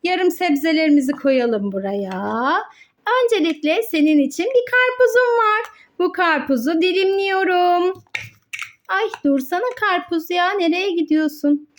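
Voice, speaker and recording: A woman with a high voice presents toy play for children. She improvises her narration at a slow pace, explaining each small step simply to young viewers. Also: expressive.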